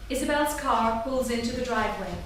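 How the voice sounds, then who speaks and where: A woman's voice: a little high, a little fast. Distant, echoey woman narrator. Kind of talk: reading stage directions aloud. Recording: very echoey theatre, distant, loud noise.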